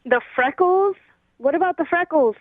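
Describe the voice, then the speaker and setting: A woman's voice: very high voice, lively intonation, normal speed, no echo. A woman calling in to a radio show over a phone line, talking in a casual conversational way. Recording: tinny, narrow-band telephone line.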